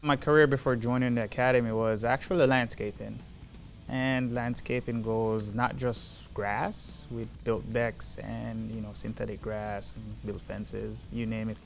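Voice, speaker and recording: Gravelly man from Jamaica and Minnesota. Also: fairly low pitch, flat delivery, unhurried, soft background music. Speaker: police academy recruit. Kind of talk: interview answers.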